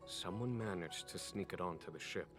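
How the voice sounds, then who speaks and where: A man acts out a game general with a very low voice. He is composed, formal and measured in his authority, with prominent music behind him. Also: natural voice. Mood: calm, authoritative. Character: calm, authoritative adult male general. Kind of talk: video game voice acting.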